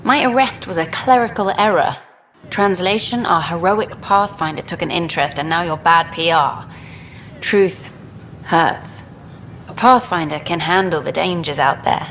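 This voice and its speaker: An actress playing an earnest, bold documentary reporter in video game dialogue. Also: female voice, lowish voice, measured pace, a bit bright. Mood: defensive, earnest. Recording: faint background noise.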